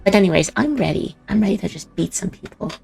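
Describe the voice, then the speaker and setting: A woman streamer with a raspy morning voice, chatting casually with a co-streamer and her chat, bubbly and giggly, often tripping over her words. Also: mid-range voice, fast, music in the background. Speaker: VTuber streamer.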